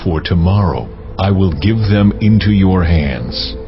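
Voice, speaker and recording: A man's voice: sits low, lively intonation, unhurried, dull audio, music in the background. A man playing God in dramatized scripture, with a grave, authoritative, commanding manner. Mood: solemn command. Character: God, solemn commanding divine male voice.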